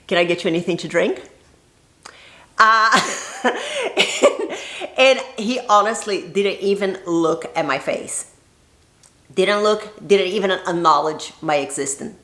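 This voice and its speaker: A woman's voice: mid-range voice, fairly bright tone. A woman from Brazil telling a personal story to camera in a chatty, laughing way. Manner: chatty, laughs often, playful quoted voices. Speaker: vlogger. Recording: echoey room.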